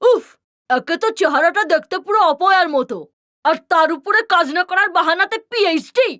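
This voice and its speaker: A very high, sharp female voice acted by a woman for a haughty, spiteful cartoon relative. She mocks and scolds with open scorn. The sound is close and dry. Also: moderate pace, no room sound. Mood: scolding. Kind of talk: cartoon story dialogue.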